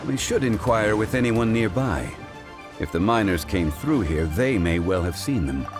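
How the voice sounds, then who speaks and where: A man voicing a composed, erudite gentleman in a video game, speaking in a formal, deliberate way. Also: fairly low pitch, expressive, close to an everyday voice. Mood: calm, pondering. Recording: music behind the voice. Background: game music.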